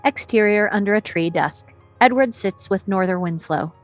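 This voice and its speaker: A woman's voice: mid-range voice, moderate pace, faint music behind. A woman narrator reading screenplay action and scene descriptions at a table read. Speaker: narrator reading stage directions.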